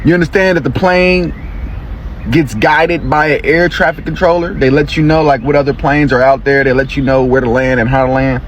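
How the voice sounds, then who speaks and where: A man's voice: fairly high pitch, fairly even intonation, normal speed, slight background noise. A man in a casual, blunt and exasperated rant, talking straight to viewers. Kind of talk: casual social media rant.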